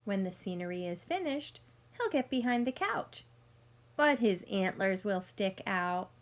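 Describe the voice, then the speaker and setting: A woman reading a picture book aloud like a teacher, speaking deliberately to young listeners and explaining as she goes. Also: mid-range voice, lively intonation. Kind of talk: read-aloud storytelling with teaching asides.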